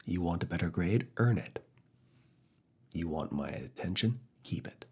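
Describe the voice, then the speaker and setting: Man playing deep, husky, seductive professor. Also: animated, exaggerated voice. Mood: firm, challenging. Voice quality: husky, breathy, low gravelly voice. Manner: slow, deliberate, pauses between short phrases, slipping into whispers. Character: male university professor, firm, teasing, quietly seductive. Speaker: ASMR roleplay performer. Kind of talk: ASMR roleplay, soft intimate one-to-one talk.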